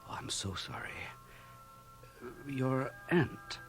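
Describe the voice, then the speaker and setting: An actor playing a fussy, soft-spoken undertaker, polite and delicately hesitant, in a radio drama. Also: male voice, mid-range voice, expressive, slow, in a whisper, faint music behind, rough recording. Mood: apologetic. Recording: 1980 radio drama, home cassette transfer.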